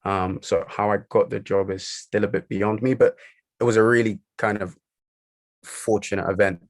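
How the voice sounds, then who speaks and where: A man's voice: on the low side, flat delivery, moderate pace, dry recording. A young man in his twenties, a podcast guest, talking about his career with frequent hesitations. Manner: hesitant, lots of ums. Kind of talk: podcast interview.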